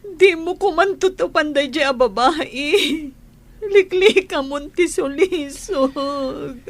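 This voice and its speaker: An actress playing a wounded, reproachful young wife in an audio drama, pleading and emotional, with an Ilocano accent. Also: female voice, high-pitched, takes it slowly. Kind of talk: Filipino audio drama acting.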